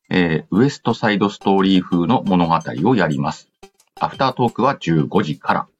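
Deep-voiced man hosting a chatty show. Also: expressive, normal speed, husky, lo-fi sound. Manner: reads announcements aloud with playful asides. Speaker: show host reading listener letters. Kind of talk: casual chatty radio-style talk. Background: background music.

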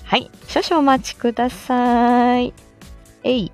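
A woman's voice: fairly high pitch, little pitch movement, takes it slowly, smooth, soft background music. A woman chatting casually as a live-stream host, greeting listeners from inside a car.

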